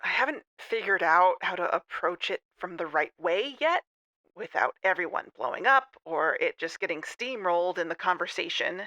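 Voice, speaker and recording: An actress playing a cautious young woman investigator who speaks hesitantly and softly in an audio drama. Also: lowish voice, lively intonation, no echo, poor audio. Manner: hesitant, soft, halting.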